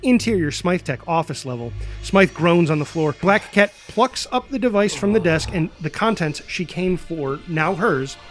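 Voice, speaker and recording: A man's voice: a little high, animated, normal speed, few pauses, soft background music. A man narrating action and stage directions from a script in a dramatic style.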